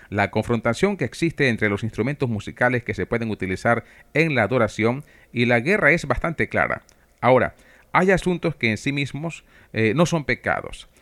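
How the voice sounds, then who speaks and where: A man from Venezuela with a Venezuelan accent, preaching for radio in a measured, didactic way. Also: mid-range voice, a bit bright. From Trujillo, Venezuela. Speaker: preacher and radio program host. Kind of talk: sermon talk for radio.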